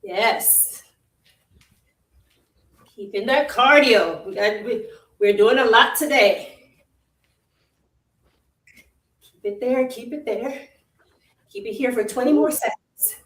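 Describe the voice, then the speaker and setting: A woman's voice: on the high side, slow, pauses often, fairly bright tone. A woman with a Jamaican accent leading a live workout, giving short, encouraging cues over and over and laughing easily. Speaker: fitness instructor. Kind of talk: live virtual workout coaching. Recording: roomy, distant from the mic.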